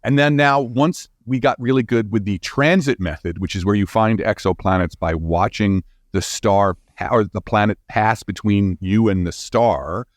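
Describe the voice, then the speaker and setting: A man's voice: on the low side, normal speed, few pauses, no room sound, studio quality. A man explaining science as an interview guest in an informal, enthusiastic, conversational way.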